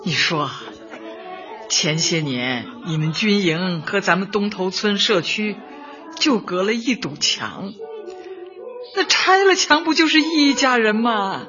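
An actress in a radio drama playing a warm, devoted elderly woman community secretary who talks affectionately and emphatically. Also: mid-range voice, lively intonation, slow. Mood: tender, wistful. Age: older. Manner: affectionate, emphatic, sighing interjections. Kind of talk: Chinese radio drama acting. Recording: muffled, music behind.

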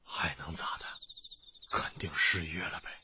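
An actor playing a caring but pushy young male teacher in a radio drama, brisk, blunt and urging. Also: low-pitched, steady tone, highs cut off, background noise, close to an everyday voice. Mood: persuading.

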